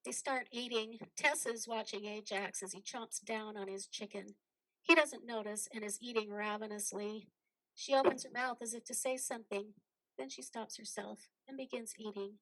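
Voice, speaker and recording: A woman's voice: a little high, fairly even intonation, moderate pace, fairly bright tone, poor audio. A woman narrating a play, reading out stage directions as scripted dramatic narration.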